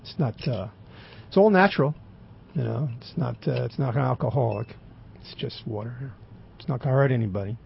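An older man with a raspy voice, telling a rambling personal story with dry, wry humor and many fillers. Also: medium pitch, a little fast, lo-fi sound, slight background noise. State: throat occasionally closing up.

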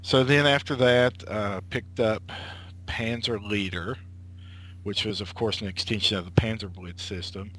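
A man's voice: lowish voice, steady tone, a little slow, fairly bright tone, slight background noise. A man in his late thirties, a guest chatting casually over an internet call, rambling with lots of filler words and restarts. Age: late 30s. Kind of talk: casual conversation.

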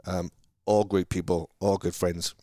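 Man, Welsh accent, very low voice, dry sound, halting restarts, casual chat. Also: flat delivery, mellow, dry recording. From Wales. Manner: rambling, halting, frequent restarts. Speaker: car salesman guest. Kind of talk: casual conversation.

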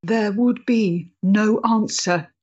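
A woman playing a proud, bitter, disillusioned woman in a period drama, speaking with intense deliberation and a husky voice. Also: medium pitch, takes it slowly, darkish tone, lo-fi sound. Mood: bitter, hopeless. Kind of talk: period audio drama acting.

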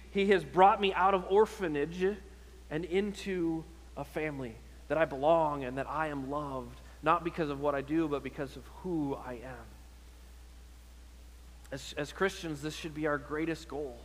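A man preaching a sermon as a pastor, earnest and exhortative, posing rhetorical questions and often repeating his phrases. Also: on the high side. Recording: long hall reverb on sermon audio.

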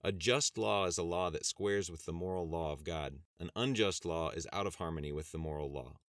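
A man's voice: sits low, fairly quick, fairly bright tone, dry recording, studio quality. A man voicing a sarcastic, combative male congressman in an audiobook. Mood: mocking. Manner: sarcastic, defiant.

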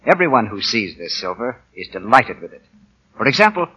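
A man's voice: medium pitch, big pitch swings, a little fast, sharp tone, lo-fi sound. A man announcing for a sponsor on 1940s radio, with a polished, emphatic sales delivery, on an old broadcast recording.

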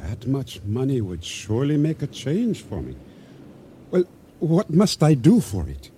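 A man acting a cautious, law-abiding island provisioner in a radio drama, questioning and expressive, in formally phrased speech. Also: medium pitch, unhurried, slight background noise. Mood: tempted.

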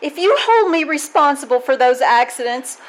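Lady acting a wry wisecracking schoolteacher, pretty high voice, old radio comedy on stage, faint noise. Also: animated, moderate pace. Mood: pleading. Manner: dry, wisecracking, apologetic to her boss. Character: wry, quick-witted female schoolteacher. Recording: live stage recording, background noise.

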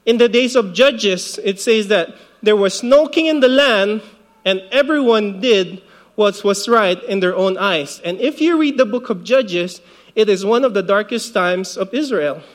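A man in his forties, from the Philippines, preaches in a very high voice for a man. He talks to the congregation conversationally, mixing scripture with personal asides and easy laughter. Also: expressive, unhurried. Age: 40s. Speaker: pastor. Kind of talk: sermon.

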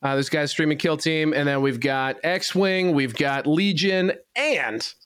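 A man co-hosts a casual chat, looping through event announcements with plenty of "um"s and restarts. His voice sits a little high and comes through smooth and clear, recorded dry and close. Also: a little slow, fairly bright tone, dry recording. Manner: rambling, plugs events with filler words. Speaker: co-host. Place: studio.

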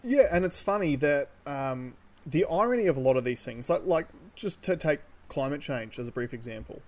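A man's voice: a little high, lively intonation, moderate pace, darkish tone. A man co-hosting a podcast, giving his opinions in casual, insistent, sweary talk. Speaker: podcast co-host.

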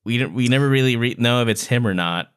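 A man's voice: medium pitch, fast, fairly bright tone, clean tone. A man co-hosting a podcast, chatting casually and informally about books. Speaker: podcast co-host. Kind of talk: casual book discussion.

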